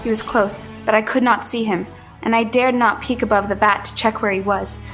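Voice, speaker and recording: An actress playing a hunted woman who tells her story as tense, deliberate first-person audio drama narration. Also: a little high, steady tone, fairly bright tone, soft background music, close to an everyday voice.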